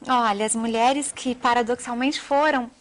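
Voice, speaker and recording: A woman's voice: on the high side, steady tone, normal speed, fairly bright tone. A Brazilian woman, a journalist and author, speaking as an interview guest in an earnest, emphatic, wordy way. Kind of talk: television interview, animated storytelling.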